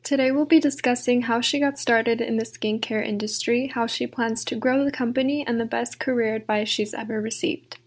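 A young woman hosting a podcast, her voice sitting a little high. She talks in a friendly, chatty way with plenty of fillers, over a video-call mic. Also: steady tone, brisk, few pauses. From Texas. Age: 20s. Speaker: podcast host. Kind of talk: casual video-call interview.

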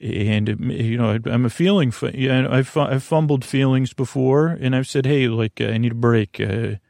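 Creaky-voiced man rambling soothingly. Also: mid-range voice, no room sound, clean studio sound. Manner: meandering, halting, self-interrupting with tangents; gentle, lulling, reassuring. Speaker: podcast host. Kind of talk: rambling, soothing bedtime monologue.

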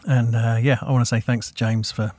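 A man hosting a podcast, talking in a relaxed, rambling way with many fillers. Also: medium pitch, brisk, no echo, studio quality. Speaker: podcast host. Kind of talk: casual interview chat.

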